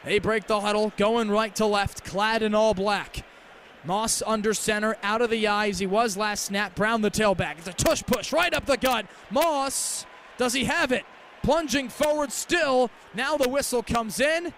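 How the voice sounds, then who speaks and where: A young man does live radio play-by-play of American football in a distinctly high voice. His delivery is crisp and packed with detail. Stadium ambience sits faintly behind him. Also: unhurried, fairly bright tone. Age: young adult. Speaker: play-by-play sports announcer. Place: stadium game broadcast. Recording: live outdoor broadcast with background noise.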